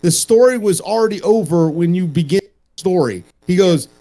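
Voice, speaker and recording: Guy on a casual panel show, kinda high voice, emphatic and opinionated, sweary. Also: animated, moderate pace, warm tone. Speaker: podcast panelist.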